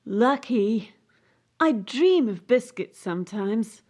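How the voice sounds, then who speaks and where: A woman's voice: fairly high pitch, lively intonation, takes it slowly, a little mellow. A woman playing a distressed, idealistic young gymnast in a radio play, with an anxious, aggrieved and brooding manner. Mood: anxious, weary.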